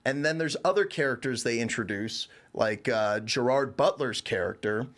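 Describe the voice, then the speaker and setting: A man's voice: medium pitch, normal speed, fairly bright tone. A man giving a casual, conversational review as a show's reviewer, rambling informally. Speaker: reviewer on a show.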